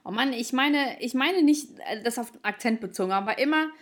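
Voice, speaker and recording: A woman's voice: fairly high pitch, expressive, a little fast. A young woman from Ukraine, co-hosting a casual chat podcast, teasing and playful in her talk. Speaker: podcast co-host.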